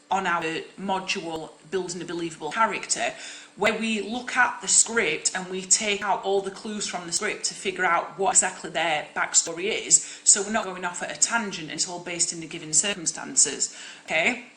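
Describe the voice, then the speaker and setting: Acting coach, young woman, bright forceful voice, loud projecting delivery, echoey room. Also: lowish voice, normal speed, slight background noise. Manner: loud, projecting, direct and encouraging. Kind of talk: live-streamed teaching talk.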